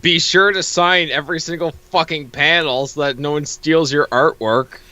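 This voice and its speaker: A man's voice: on the high side, fairly even intonation, moderate pace, bright, forceful tone, no room sound. A man co-hosting in casual banter, sardonic and exasperated, with plenty of swearing. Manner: exasperated, sardonic, foul-mouthed. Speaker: co-host reacting to a webcomic.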